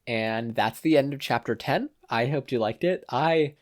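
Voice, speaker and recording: A man's voice: medium pitch, moderate pace. Male narrator reading a story. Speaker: podfic narrator.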